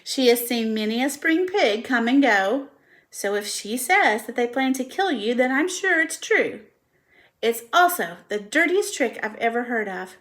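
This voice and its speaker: A wise spider in a storybook, read by a woman, speaking calmly and briskly. Her voice is bright and clear, fairly high. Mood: thoughtful. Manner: calm, firm and brisk. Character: wise female spider, calm and firm.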